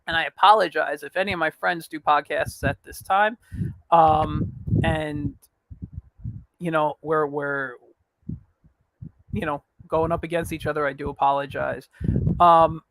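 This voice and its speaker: Casual raspy-edged man hosting a livestream. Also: a little high, unhurried, pauses often, fairly bright tone, dry recording, rough recording. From New York. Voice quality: raspy at times. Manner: rambling, frequent fillers and restarts. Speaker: podcast host.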